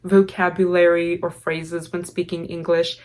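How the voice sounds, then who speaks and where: A young woman with a low-pitched voice and an Armenian accent talks straight to camera in a casual storytime vlog. She favours formal, elaborate wording, yet her words tumble out impulsively and she scatters "like" between phrases. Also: flat delivery, unhurried, darkish tone. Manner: formal, elaborate diction with filler "like". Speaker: vlogger.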